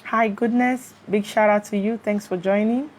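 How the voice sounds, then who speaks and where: A woman's voice: medium pitch, normal speed. A Nigerian woman hosting a live stream, chatting casually on a phone, greeting her viewers and checking they can hear her. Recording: steady fan noise behind.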